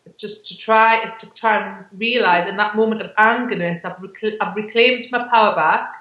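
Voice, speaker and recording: A woman's voice: medium pitch, steady tone, normal speed. A woman co-hosting a casual self-help chat, talking in a reflective, rambling way and often breaking off mid-phrase. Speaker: co-host. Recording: echoey, dull online call audio.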